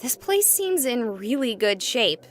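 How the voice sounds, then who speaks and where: A woman playing a goofy, excitable young livestreamer in a visual novel, chatting playfully with exaggerated enthusiasm. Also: fairly high pitch, a little slow. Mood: goofy, chatty. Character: excitable, goofy young female livestreamer exploring haunted places.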